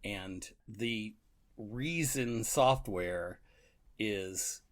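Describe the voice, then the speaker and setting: A man's voice: lowish voice, drawn-out words. A man co-hosting a podcast, casually reflecting and thinking aloud with a chuckly manner. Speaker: podcast co-host. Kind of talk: casual book and radio drama discussion.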